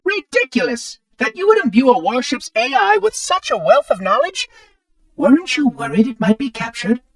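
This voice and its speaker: A man's voice: very high voice, no echo, exaggerated voice. A man voicing a chipper, fussy male robot caretaker in a video game, speaking in a polite, formal, pedantic way, heard as distant game audio. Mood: giddy. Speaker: game character.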